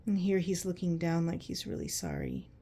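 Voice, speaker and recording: Woman, children's story narrator, lowish pitch, gravelly fry, conversational, expressive, questioning asides. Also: normal speed. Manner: conversational, checks in with listeners through questions. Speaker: children's read-aloud host. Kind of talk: narrating a picture book to children.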